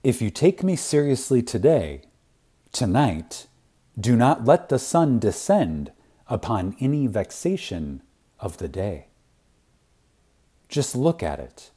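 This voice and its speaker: A man reading an inspirational spiritual lecture aloud in a reverent, dramatic way, with long pauses and strong emphasis. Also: mid-range voice, sing-song, dark tone, breathy edge.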